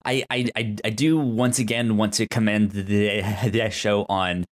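A man co-hosting a podcast, chatting casually and enthusiastically about television in a halting way, with stuttered sounds and syllables. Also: fairly low pitch, steady tone. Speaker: podcast co-host. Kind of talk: casual TV show discussion.

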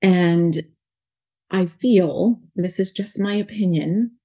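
A woman's voice: fairly low pitch, takes it slowly, warm tone, breathy edge. A woman in her forties with a New York accent, talking earnestly in an interview as a life coach, rambling and restarting her sentences. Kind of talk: casual interview talk.

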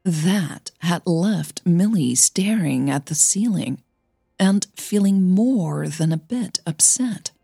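A woman's voice: on the low side, expressive, takes it slowly, gravelly, dark tone, husky, no echo, clean studio sound. A woman hosting a fiction podcast and reading scripted story narration.

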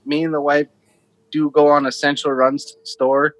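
A man's voice: a little high, little pitch movement, moderate pace, fairly bright tone, rough recording. A man in his thirties from Minnesota, giving casual, conversational answers as an interview guest. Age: 30s. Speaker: interview guest, casino team supervisor.

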